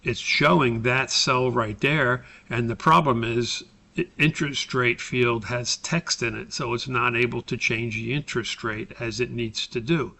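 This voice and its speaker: An American man giving an informal, step-by-step software tutorial as a course instructor, pausing mid-sentence as he explains. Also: mid-range voice, fairly even intonation, creaky. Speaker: course instructor recording a tutorial for his class.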